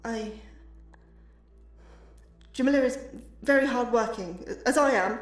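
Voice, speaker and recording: An actress playing an earnest, anxious astrobiology lecturer with a proud streak, in an audio drama. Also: female voice, a little high, slow, faint music behind. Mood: measured, guarded. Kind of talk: scripted audio drama dialogue.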